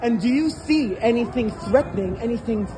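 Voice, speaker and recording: A man's voice: very high-pitched, normal speed, over music. A man whose first language is Arabic, a protester insistently demanding answers in a heated confrontation outdoors. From Syria. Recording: noisy outdoor crowd recording. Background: crowd noise.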